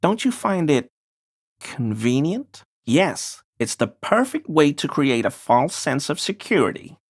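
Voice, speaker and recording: An actor playing an obsequious, conspiracy-minded amateur researcher in a video game, deferential and wheedling while sounding pseudo-scholarly. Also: male voice, on the high side, big pitch swings, unhurried, exaggerated voice. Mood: insinuating, flattering. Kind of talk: video game character dialogue.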